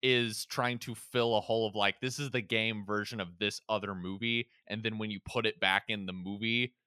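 A man's voice: fairly low pitch, fairly even intonation, normal speed, sharp tone, smooth, no room sound, studio quality. A man in a casual podcast conversation about films, musing aloud in a tentative, conversational way. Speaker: podcast speaker. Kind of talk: casual film discussion.

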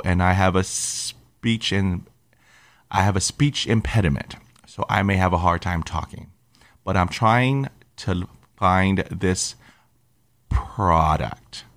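A man's voice: deep voice, fairly bright tone. A man telling personal stories about living with a stutter, laughing often and dropping into whispers, with audible stutters. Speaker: podcast host who stutters. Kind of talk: personal storytelling podcast.

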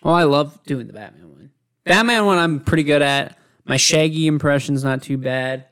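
A man's voice: on the high side, moderate pace, a bit bright, clean tone. A young adult man chatting casually as a podcast co-host. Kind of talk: casual chat.

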